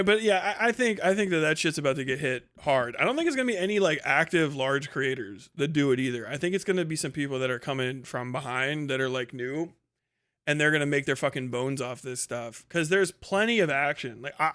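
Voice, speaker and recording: A man with a slightly high voice talks off the cuff as a livestream host. His commentary is casual and rambling, full of slang and swearing. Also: lively intonation, a little fast, a bit bright.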